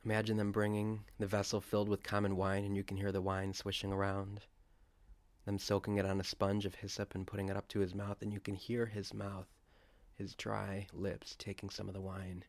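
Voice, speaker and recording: A man leads a guided prayer meditation in a slow, hushed voice that stays low and level. The recording is close and dry. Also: completely flat delivery, dry recording. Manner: slow, hushed, imaginative guiding.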